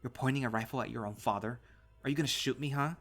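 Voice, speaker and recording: This is a man performing a hot-tempered animal father in an audio drama. He scolds with scorn and accusation, stern and forceful, over a music bed. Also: medium pitch, fast. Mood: hateful anger.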